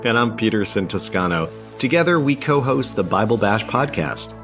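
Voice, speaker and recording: Male podcast narrator, deep pitch, relaxed and wry storytelling delivery, music bed behind. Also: moderate pace. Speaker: podcast host and producer.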